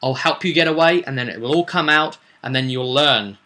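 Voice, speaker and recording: A man's voice: a little high, fairly quick, pushed and bright. A man voicing a scheming city councillor who feigns earnest urgency, persuasive and insistent, in a tabletop roleplay retelling. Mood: urgent, conspiratorial.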